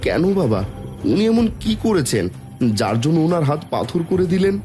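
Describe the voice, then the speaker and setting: Proud, animated village father. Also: fairly high pitch, normal speed, over music. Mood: puzzled. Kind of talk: Bengali cartoon fairy-tale voice acting.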